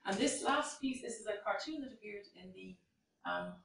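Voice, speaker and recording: A woman's voice: medium pitch, expressive, normal speed, creaky, fairly bright tone, distant mic, slight background noise. A woman giving a conference keynote from a lectern, reading old letters aloud with frequent fillers and restarts. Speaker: keynote speaker. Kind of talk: academic conference lecture. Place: large lecture hall, at a lectern. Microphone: lectern mic, filmed from a distance. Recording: echoey hall recorded from afar.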